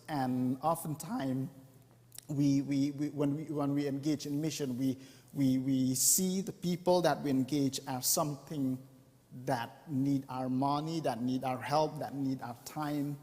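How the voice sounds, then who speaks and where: A man from India, giving an earnest, explanatory mission presentation in church, often pausing to find his words. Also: mid-range voice, darkish tone. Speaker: mission speaker. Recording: long reverb in a church hall.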